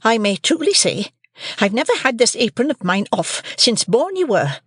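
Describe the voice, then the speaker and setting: A shrill, scolding woman lashes out in a high, loud, nagging voice, as a male audiobook reader puts her on. The sound is dry and close, with no room around it. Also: very animated, normal speed, husky, no room sound, heavily acted. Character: shrill scolding sister, harsh tempered woman.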